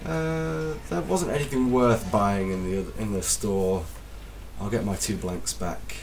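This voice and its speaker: A man with a slight cold, casually commentating his gameplay as a let's play host, excitable and self-deprecating. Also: fairly low pitch, a little slow, vocal fry, far from the mic, a little hiss or hum.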